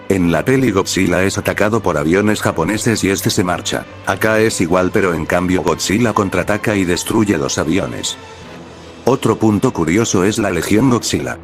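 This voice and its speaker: A man with a low-pitched voice narrates a scripted retrospective. He presents it as a video narrator, with music playing faintly behind him.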